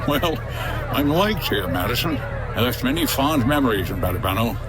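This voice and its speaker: An actor playing a gruff, wry 1950s boat skipper with a deadpan manner in a comedy adventure audio drama. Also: male voice, mid-range voice, moderate pace, crowd noise, close to an everyday voice. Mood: smug. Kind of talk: old-time-radio-style comedy adventure acting.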